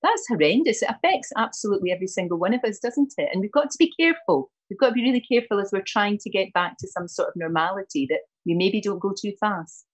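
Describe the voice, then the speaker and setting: Husky Scottish woman hosting. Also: medium pitch, expressive, fast talker, few pauses, low-quality recording. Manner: warm, effusive, repeats words. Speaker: morning TV host. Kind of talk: TV interview chat.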